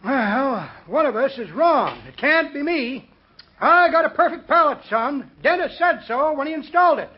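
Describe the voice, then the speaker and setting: Folksy old codger, extremely high voice, cheerfully cocksure busybody, vintage radio comedy, dull recording. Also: male voice, lively intonation, normal speed. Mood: blithely meddling. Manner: folksy, blithely cocksure, calls people son and daughter. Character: cheerful, meddling old-timer neighbour, male. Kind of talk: 1950s radio comedy acting.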